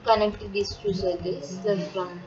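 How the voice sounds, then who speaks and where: A woman with an Indian accent giving an unscripted step-by-step software tutorial, instructing and answering her own questions as she goes. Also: mid-range voice, flat delivery, a little slow. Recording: dull lo-fi audio, loud background noise.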